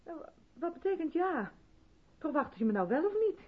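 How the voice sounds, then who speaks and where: Radio drama, female role: strict, insistent rehearsal leader, persuasive and pointed, very expressive intonation, muffled vintage sound. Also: sits high, normal speed. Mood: reproachful, pointed. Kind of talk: 1970s Dutch radio drama acting.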